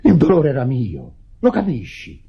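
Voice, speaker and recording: An actor playing an indignant, self-pitying widower in a radio drama, declamatory and stammering in agitation. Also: male voice, mid-range voice, huge pitch swings, moderate pace, creaky, husky, heavily acted. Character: indignant grieving widower, theatrical, self-pitying, berating his sister. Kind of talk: Italian radio drama acting. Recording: dull lo-fi old radio recording.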